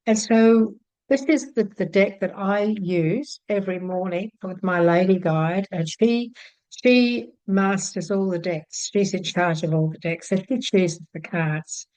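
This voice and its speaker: Older Australian woman, homey chatty vlogger, middle pitch, dry close mic. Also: unhurried, dry recording, poor audio. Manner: chatty, warm-hearted, many fillers and restarts. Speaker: psychic channel host. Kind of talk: casual talk to camera. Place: home room with shelves of card decks.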